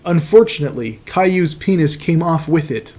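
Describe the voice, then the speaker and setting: A man's voice: a little high, expressive, moderate pace, darkish tone. A man reading fan fiction aloud as host, in a comic narration with profane, mocking casual asides.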